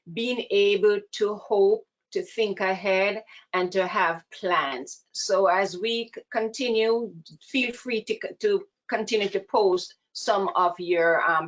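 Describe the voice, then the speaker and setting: A woman with a Jamaican accent giving an earnest, measured webinar presentation as a counselor, heard over a video call. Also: medium pitch. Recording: dull lo-fi video call audio.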